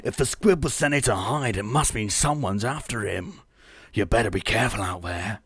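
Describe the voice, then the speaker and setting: Man acting husky, earnest protective boyfriend. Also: fairly low pitch, moderate pace, fairly bright tone, dry recording, studio quality. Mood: urgent warning. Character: earnest, protective man warning his girlfriend.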